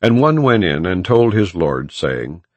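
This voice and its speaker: A man's voice: low-pitched, big pitch swings, unhurried, no room sound, highs cut off. A man narrating an audiobook of scripture in a solemn, formal, declamatory reading style.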